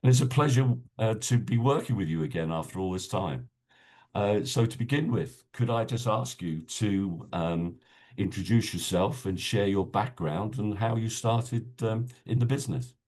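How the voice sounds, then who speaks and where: Low-voiced hesitant webinar host. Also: male voice, moderate pace, a little mellow. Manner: polite, hesitant, many filler sounds. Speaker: webinar host and peer group chair. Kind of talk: hosting a business webinar.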